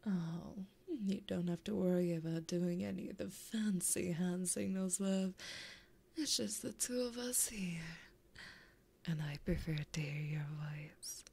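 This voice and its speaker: A woman's voice: lowish voice, mellow, exaggerated voice. A woman voicing a flirty, possessive female demon gambler in a whispered, teasing ASMR roleplay, close to a binaural microphone. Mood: teasing, playful. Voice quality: breathy, whispered. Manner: slow, teasing, intimate.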